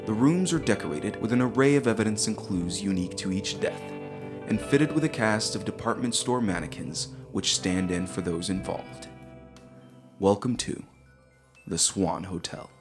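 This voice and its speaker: Solemn male narrator for macabre audio drama, acted, low register, music bed underneath. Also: normal speed, natural voice. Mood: measured, ominous. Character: solemn male storyteller introducing a macabre hotel mystery.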